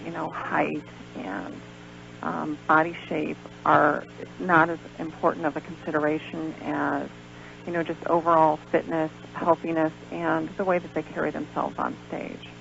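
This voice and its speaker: American woman interviewee, lo-fi gravelly radio sound. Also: low-pitched, little pitch movement, a little slow, a bit bright. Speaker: guest, pageant organizer. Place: radio show. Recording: dull lo-fi radio recording with hum, noise.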